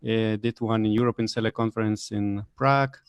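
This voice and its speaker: Brief: man from Lithuania, mid-pitched, dry close mic, matter-of-fact. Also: fairly even intonation, brisk, smooth, dry recording. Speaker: event organizer. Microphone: video call.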